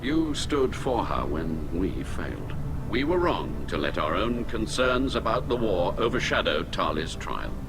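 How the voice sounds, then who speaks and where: A man's voice: low voice, very animated, a little slow, music in the background, full character voice. An actor playing a dignified, contrite older male alien admiral in a video game, formal and earnest. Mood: contrite, respectful.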